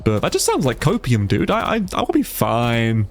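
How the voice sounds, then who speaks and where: A man's voice: medium pitch, huge pitch swings, normal speed. A man with a stuffy, congested nose giving casual, sweary let's play commentary and sounding easily amazed. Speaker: gaming YouTuber, player.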